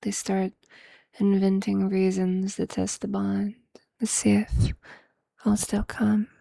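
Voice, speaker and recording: A performer voicing a possessive, tender vampire lover in an intimate, breathy ASMR roleplay whisper, with a hushed, seductive and affectionate manner. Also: medium pitch, completely flat delivery, no echo, studio quality. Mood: adoring, seductive. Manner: slow, hushed, seductive, affectionate, formal wording.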